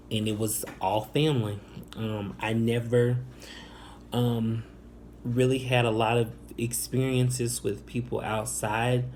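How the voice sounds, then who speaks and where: A young man from the Chicago area telling a personal story to camera, soft-spoken and hesitant, with many ums and restarts. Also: lowish voice, a little hiss or hum. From Northwest Indiana, Chicago area. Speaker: vlogger.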